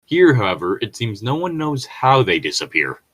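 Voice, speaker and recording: A man's voice: mid-range voice, sing-song, normal speed, fairly bright tone, breathy edge. A man co-hosting a story read-along, moving between reading aloud and irreverent, sweary, digressive joking asides. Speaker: co-host reading and commenting on stories.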